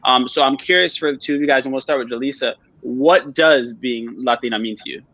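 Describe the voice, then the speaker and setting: American guy hosting a panel chat, talks real fast, kinda wordy, dry muffled call audio. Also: medium pitch, bright, forceful tone, dry recording. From New York and New Jersey, United States. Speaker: panel moderator. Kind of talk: casual roundtable interview. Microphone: live video call.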